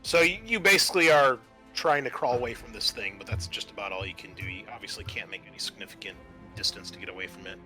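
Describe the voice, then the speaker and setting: A man's voice: on the low side, fast, hardly any pauses. A man acting as game master, explaining rules and narrating a tabletop roleplaying game in a matter-of-fact, conversational way. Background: background music.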